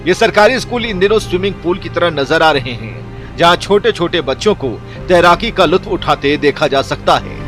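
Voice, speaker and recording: A man's voice: on the high side, lively intonation, normal speed, over music. A man delivering scripted news narration as a voice-over reporter, formal in manner.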